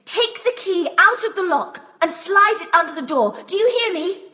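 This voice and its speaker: A woman's voice: extremely high pitch, animated, moderate pace, bright, forceful tone, heavily acted. A woman playing an earnest child adventurer in a children's audio play. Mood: earnest, urgent. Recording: long hall-like reverb.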